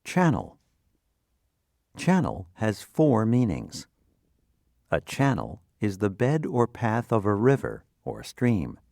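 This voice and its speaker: A man reading scripted educational narration as a vocabulary teacher, with deliberate, instructional enunciation. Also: fairly low pitch, huge pitch swings, gravelly, mellow, no room sound, studio quality.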